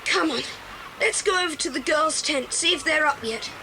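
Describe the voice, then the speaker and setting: A woman's voice: high-pitched, normal speed. A woman playing an eager, knowledgeable young boy in a children's audio drama, keen and earnest. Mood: cheerful. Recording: very noisy old cassette dramatisation.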